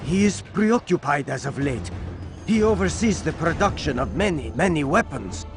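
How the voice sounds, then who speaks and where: High, swooping, excitable townsman. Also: normal speed, music in the background. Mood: frightened. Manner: dramatic, emphatic. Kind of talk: dramatic video game dialogue.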